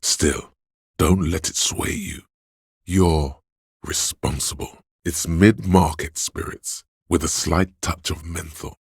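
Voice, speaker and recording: A man voicing a video game's inner voice of addiction, a sleazy, hedonistic tempter who coaxes and indulges in a gravelly, husky, theatrical voice. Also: deep voice, slow, dry recording, clean studio sound, full character voice. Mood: wry, knowing. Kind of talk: video game character voice lines.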